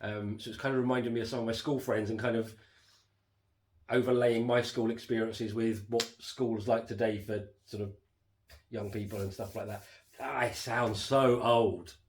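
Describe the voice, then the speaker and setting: A man co-hosting a casual home podcast, rambling with lots of fillers and restarts, his voice going hoarse. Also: lowish voice, steady tone, moderate pace, rough recording. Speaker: podcast co-host reviewing a TV series. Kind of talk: casual chat.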